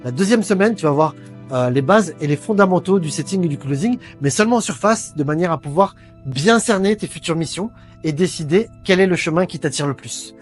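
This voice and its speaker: A middle-aged man giving an earnest sales pitch for a training program, talking straight to the listener and stumbling over frequent fillers. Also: lively intonation, brisk. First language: French. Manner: hesitant, many fillers, earnest persuading. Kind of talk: direct-to-camera sales pitch. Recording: faint background music.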